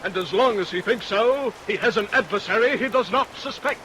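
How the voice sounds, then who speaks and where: An earnest, righteous foe of a killer in an old radio melodrama. His high voice declaims formally through clear rain noise on a vintage recording. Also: a little fast, bright, forceful tone, rough recording. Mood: resolute, grave. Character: righteous, horrified male adversary of a murderer.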